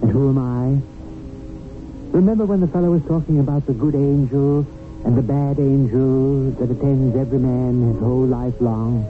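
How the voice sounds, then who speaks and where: An actor in a 1970s radio drama playing a harried, loyal guardian angel with an apologetic, folksy manner. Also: male voice, medium pitch, steady tone, normal speed, lightly acted. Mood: wry, confiding. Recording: tinny old radio, music behind.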